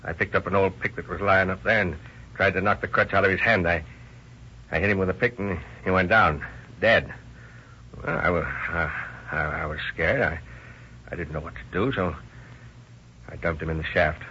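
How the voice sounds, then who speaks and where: A nervous murder suspect. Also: male voice, deep voice, normal speed, long pauses, pushed and bright, slight background noise. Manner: defensive, stammering. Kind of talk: 1930s radio drama acting. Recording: muffled old radio recording.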